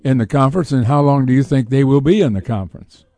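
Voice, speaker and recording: Mellow-voiced man hosting sports radio, dry sound. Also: medium pitch, no room sound. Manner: hesitant, pausing often with uhs. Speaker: sports talk radio host. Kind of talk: unscripted sports radio interviewing.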